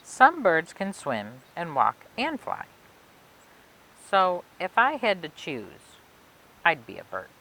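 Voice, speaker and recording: Low-voiced woman reading a picture book, lively pitch. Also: takes it slowly, rough recording. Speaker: library storytime reader. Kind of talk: expressive children's picture book read-aloud. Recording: clear background noise and hiss.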